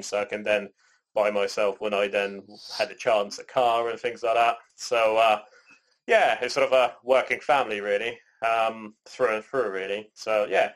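A man raised in Canada, co-hosting a podcast in relaxed casual chat, rambling with lots of "you know" and "sort of.". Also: lowish voice, poor audio. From born in Poland, raised in Canada. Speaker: podcast co-host.

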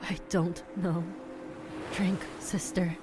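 A woman's voice: fairly low pitch, level tone, slow pace, darkish tone. A woman playing a fierce, protective, vengeful mermaid sister in an audio drama, with an imperious, threatening delivery. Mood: grave, urgent.

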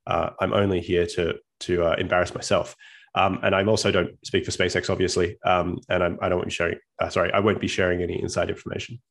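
Deep gravelly Australian man presenting. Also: flat delivery, quick pace, a bit bright. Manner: friendly, self-deprecating, thinks aloud. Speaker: guest speaker, physicist. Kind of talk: online presentation with slides. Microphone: video call.

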